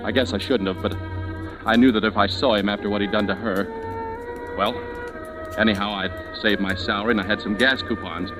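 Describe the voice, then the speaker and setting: An actor playing an anxious, protective young man from California in a 1940s radio drama, plain-spoken and halting, with music underneath. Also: on the low side, fairly even intonation, lightly acted. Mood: somber, regretful. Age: young adult. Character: anxious, protective young Californian brother telling his story.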